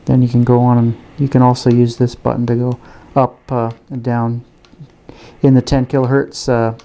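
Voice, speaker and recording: A man giving a casual, unscripted product review and demonstration in a halting, hesitant way. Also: mid-range voice, monotone, creaky, warm tone. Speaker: gadget reviewer.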